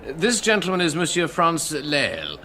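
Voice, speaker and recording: A man's voice: on the high side, huge pitch swings, a little slow, pushed and bright, heavily acted. A man acting in a radio detective drama as a cheerful, courteous police subordinate reporting to his chief, with noise behind him. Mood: cheerful, formal. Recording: clear ambient noise behind voice.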